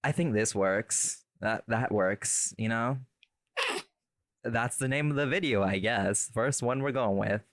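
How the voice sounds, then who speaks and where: Male co-host, relaxed slower delivery, informal and drily teasing, very dry close recording. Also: medium pitch, no room sound. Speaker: podcast co-host, voice actor. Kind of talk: casual behind-the-scenes podcast chat.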